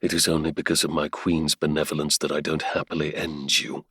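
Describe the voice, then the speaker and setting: A man voicing a cold, menacing young nobleman who speaks low and quietly. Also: monotone, fairly quick, slightly rough, no room sound, clean studio sound, exaggerated voice.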